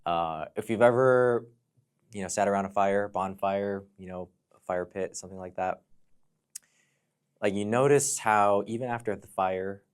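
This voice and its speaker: Deep-voiced, reflective American man. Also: moderate pace, clean tone. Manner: reflective, explanatory, often says "you know". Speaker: podcast guest, fire dancer and entrepreneur. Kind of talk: conversational personal storytelling.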